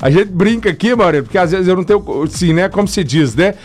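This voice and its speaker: A man's voice: high-pitched, animated, fast, darkish tone. A man hosting a morning radio show, chatty and joking, sending greetings to listeners. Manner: jokey, chatty, laughs often. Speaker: radio host. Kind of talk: morning radio shout-outs.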